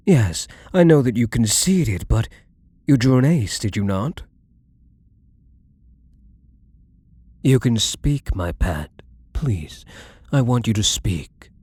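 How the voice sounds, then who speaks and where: Possessive rich gambler in love, played by a man. Intimate ASMR roleplay, dark mellow tone, expressive swings in pitch, commanding and adoring. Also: heavily acted. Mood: pleading, earnest. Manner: breathy, slow, intimate, often dropping to a whisper.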